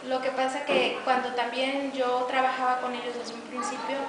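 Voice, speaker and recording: A young woman who teaches preschool, speaking calmly and softly about her classroom in an interview. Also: high-pitched, crowd noise. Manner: soft-spoken, calm, unhurried. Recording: echoey room, distant mic, noisy background.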